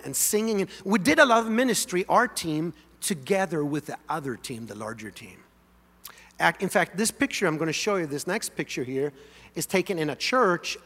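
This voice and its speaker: A man from Sweden preaches in a bright, forceful voice that rings through a large hall. He tells his story in a casual, animated way. His voice sits a bit high for a man. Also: creaky. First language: Swedish. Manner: animated, conversational, leads congregation in song. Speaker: pastor. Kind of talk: sermon storytelling. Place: large church sanctuary.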